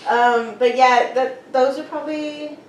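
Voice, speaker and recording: A woman chats as a podcast guest at home, easygoing and often laughing as she rambles. Her voice sits a bit high. She is picked up from a distance in an echoey room with a faint hiss. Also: steady tone, unhurried. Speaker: podcast guest, police dispatcher. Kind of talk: casual interview chat. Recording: roomy, distant mic with faint noise.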